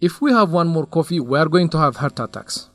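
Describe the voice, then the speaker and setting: A man's voice: on the high side, expressive, fairly quick, a bit bright, no room sound. A man playing a sociable character in scripted dialogue for an educational radio drama. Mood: conversational.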